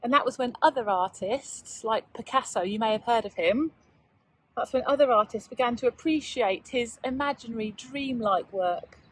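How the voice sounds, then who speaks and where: High, husky-voiced woman reading to children. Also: no echo, poor audio. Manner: measured, addressing young children. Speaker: teacher reading to pupils. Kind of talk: children's read-aloud and informal lesson talk.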